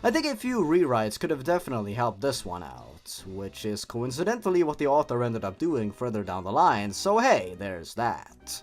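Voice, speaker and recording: Animated, sardonic man narrating over music. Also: medium pitch. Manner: theatrical, sardonic, exaggerated emphasis. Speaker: host of a horror story review channel. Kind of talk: scripted narration and review commentary. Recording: music behind the voice. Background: background music.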